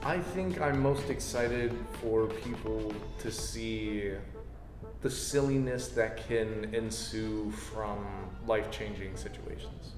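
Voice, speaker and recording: A man's voice: lowish voice, slow pace. A man giving casual interview answers as the director of a play. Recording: reverberant echoey hall, faint music.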